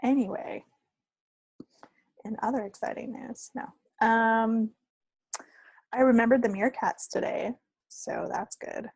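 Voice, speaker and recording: Female podcast co-host, husky voice with fry, wry and understated, casual delivery. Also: on the high side, fairly even intonation, takes it slowly, pauses often, darkish tone, no echo. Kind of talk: casual chat.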